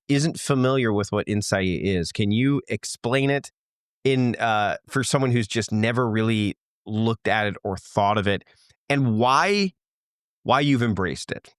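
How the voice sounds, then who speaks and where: A man's voice: medium pitch, expressive, no echo. Guy hosting a podcast, friendly and keen, trips over words a bit, dry close mic. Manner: friendly, eager, a bit halting. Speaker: podcast host. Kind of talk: podcast interview.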